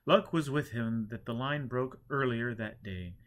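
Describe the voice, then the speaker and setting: A man's voice: mid-range voice, a little slow. A man reading an audiobook, narrating a story from a script. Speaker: audiobook reader.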